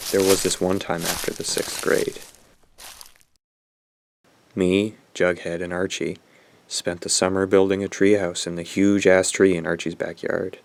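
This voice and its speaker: A man's voice: low-pitched, level tone, a little slow, creaky, long pauses, dry recording. A man playing a bitter, guilt-ridden young man who narrates a horror radio drama in a brooding, self-loathing way. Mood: wistful, brooding.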